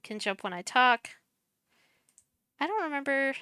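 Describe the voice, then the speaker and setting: A young woman streamer, soft-spoken and chatty, walking viewers through her streaming setup on a headset mic while muttering as she clicks around. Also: high-pitched, animated, measured pace, dry recording, clean studio sound. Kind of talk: casual screen-share tutorial.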